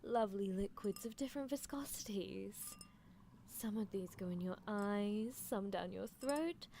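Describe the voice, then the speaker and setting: A woman acts out a possessive female mad scientist for an ASMR roleplay. She speaks slowly and theatrically at a medium pitch, coaxing and teasing with cool disregard for ethics. A faint noise sits behind the voice. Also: vocal fry. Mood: teasing, sly. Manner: languid, theatrical, coaxing, with soft chuckles. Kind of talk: live ASMR character roleplay.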